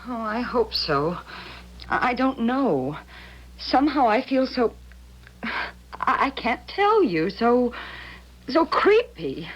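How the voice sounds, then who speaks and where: An actress playing an eerily possessed, frightened wife who turns commanding, in urgent 1970s radio drama style. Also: female voice, on the high side, sing-song, lo-fi sound, exaggerated voice. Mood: weary, uneasy. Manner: dramatic, urgent, halting then imperious.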